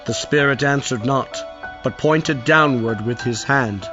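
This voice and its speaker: A man's voice: medium pitch, unhurried. Solemn male narrator over music. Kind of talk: solemn scripted literary narration. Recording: muffled lo-fi audio with music behind.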